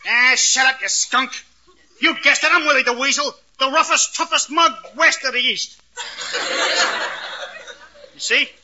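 A man's voice: very high voice, unhurried, long pauses, pushed and bright, heavily acted. A man acting in an old radio comedy as a timid, stammering wisecracker bluffing as a tough gangster. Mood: blustering, tough. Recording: noisy, muffled old radio recording.

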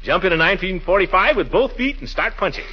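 A man's voice: high voice, very animated, fast, slightly rough, heavily acted. An actor playing a blustering, excuse-making middle-aged man with big airs, theatrical and drawn out, in 1940s radio comedy style. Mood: hearty. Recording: muffled, noisy 1940s radio recording.

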